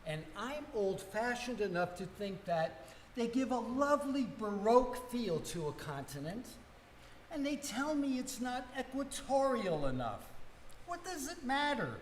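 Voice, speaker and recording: A performer plays an old-fashioned elderly man who designs planets in a live radio play. He rambles with weary resignation, his pitch moving widely. The sound is a reverberant, echoey hall. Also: sing-song, unhurried, slight background noise. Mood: wistful, grumbling.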